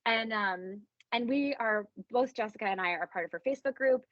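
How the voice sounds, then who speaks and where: A woman's voice: fairly high pitch, brisk, no echo, lo-fi sound. A woman hosting a casual podcast interview, speaking in an informal, emphatic and gushing way. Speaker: podcast host.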